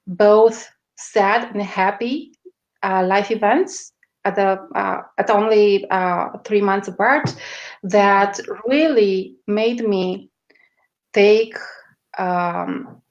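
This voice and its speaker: A woman with a strong Romanian accent answering interview questions, often pausing on fillers as she searches for words. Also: medium pitch, dull audio. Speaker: guest, media strategist.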